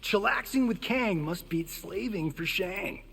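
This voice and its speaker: A man playing a cocky, wisecracking film-star fighter in a video game, husky and full of slang. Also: sits high, animated, a little slow, long reverb tail, heavy background noise, exaggerated voice. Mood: cocky, teasing. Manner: slangy, joking. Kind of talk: video game character dialogue.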